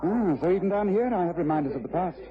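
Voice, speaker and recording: An actor playing an urbane, precise and courteous British gentleman detective in a period radio drama. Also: high-pitched, quick pace, close to an everyday voice. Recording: muffled old radio, noisy crowd background.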